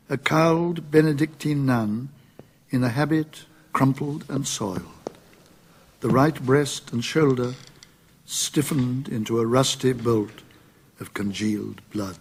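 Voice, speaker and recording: A man's voice: mid-range voice, gravelly, a little mellow, slight background noise. A man reading literary scripted narration for a radio drama.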